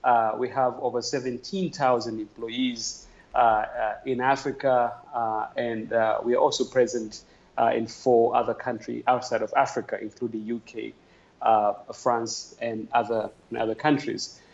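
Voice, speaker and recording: A man from Tanzania, a guest calling in remotely to a podcast, answering thoughtfully with many "uh" pauses. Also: mid-range voice, level tone, gravelly, dull audio. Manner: thoughtful, many "uh" fillers, earnest. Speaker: podcast guest, talent development executive. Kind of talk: conversational interview answer.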